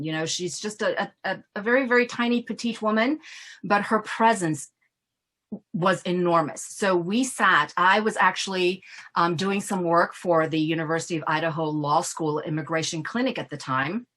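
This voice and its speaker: A middle-aged woman from Ghana, an immigration attorney answering questions in an earnest, thoughtful way with frequent pauses. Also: deep voice, a bit bright. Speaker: immigration attorney, guest speaker. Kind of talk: Q&A conversation.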